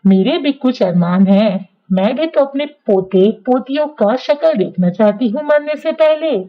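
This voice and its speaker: A woman's voice: sits high, animated, a little slow. A woman voicing a bitter, nagging older female crow mother-in-law in a cartoon story, harsh and lamenting. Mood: bitter complaining. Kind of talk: Hindi cartoon moral story dialogue. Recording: dull, lo-fi sound.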